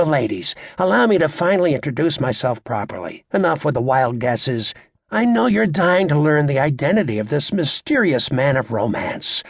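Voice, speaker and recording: A man playing a brash, crude stand-up comedian working a club crowd in an audiobook, with a raspy voice and a cocky, sarcastic, showy, needling delivery. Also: on the high side, no room sound, clean studio sound, exaggerated voice. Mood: cocky, leering.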